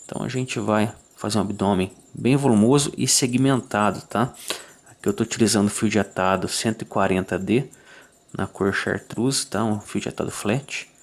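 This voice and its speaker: A Brazilian man calmly walking through a fly tying tutorial, checking in with listeners after each step. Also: fairly low pitch, normal speed. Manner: calm, methodical, ends phrases with "tá?".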